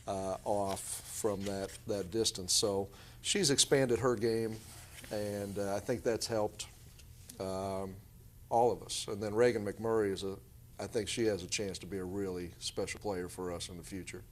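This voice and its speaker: Male basketball coach, lowish voice, halting measured answers in a conversational interview. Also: steady tone, a little hiss or hum.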